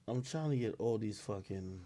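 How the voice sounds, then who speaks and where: A man hosting a live stream, casual and profane, rambling and reacting loudly as he reads things aloud. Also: fairly low pitch, expressive, moderate pace, slight background noise.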